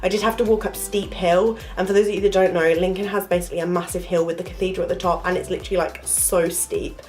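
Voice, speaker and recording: A woman's voice: fairly low pitch, steady tone, fast talker, darkish tone, faint music behind. A young woman chatting to camera, her voice nasal from a cold, rambling with lots of fillers. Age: young adult. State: congested, nasal from flu. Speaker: vlogger, university student. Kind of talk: casual storytelling to camera.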